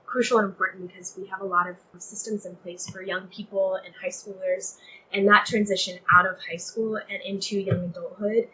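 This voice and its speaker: A woman's voice: medium pitch, level tone, a little fast, bright, forceful tone, slight background noise, poor audio. A young woman from Texas speaking earnestly and conversationally as an interview guest, often saying "like" and "you know". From Texas, United States.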